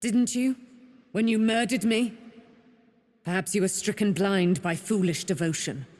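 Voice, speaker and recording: A woman's voice: medium pitch, a little slow, darkish tone, close to an everyday voice. An actress voicing a proud, bitter, long-imprisoned immortal warrior woman in a fantasy game, grave and theatrical. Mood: bitter, stern. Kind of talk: dramatic fantasy game acting. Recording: long cavernous reverb.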